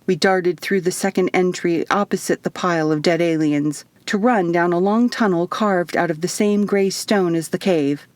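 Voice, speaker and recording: A woman's voice: fairly low pitch, moderate pace, few pauses, a little mellow, no room sound. A woman reading a first-person novel as a dramatic audiobook narration. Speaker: author reading own audiobook.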